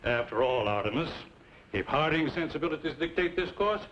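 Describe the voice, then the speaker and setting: Animated, pompous western moneylender. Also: male voice, fairly high pitch, fairly quick. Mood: placating. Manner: pompous, wordy, placating. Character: smooth-talking, calculating western moneylender. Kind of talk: old-time radio western acting. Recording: dull, noisy old radio recording.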